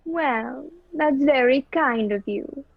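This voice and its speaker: Young woman as an indignant high-voiced lady motorist. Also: slow pace, creaky, dry recording, full character voice. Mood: wary. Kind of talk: amateur radio play acting. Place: indoors, performed over a video call. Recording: muffled video call audio.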